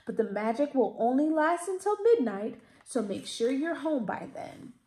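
A woman voicing a kindly, commanding fairy godmother in a storybook, with grand, drawn-out proclamations. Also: high voice, very animated, dark tone. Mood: amused, cautioning. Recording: roomy indoor echo.

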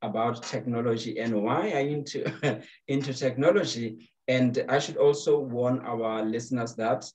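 A man's voice: medium pitch, moderate pace, darkish tone. A young man, hosting an online talk show over a video call and speaking fluently. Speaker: host. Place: home room, video call. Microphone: webcam on a video call.

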